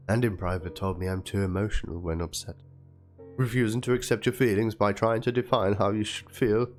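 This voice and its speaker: A man's voice: lowish voice, a little mellow. A man narrating a written document aloud as a dramatic reading, with theatrical delivery. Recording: music under the voice. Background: background music.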